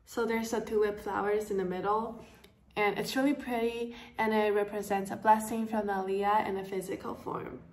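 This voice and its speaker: Brief: teen girl from Taiwan, class presenter, steady explaining tone, roomy echo. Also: medium pitch, normal speed, distant mic. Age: teens. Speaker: student presenter. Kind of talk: school project presentation.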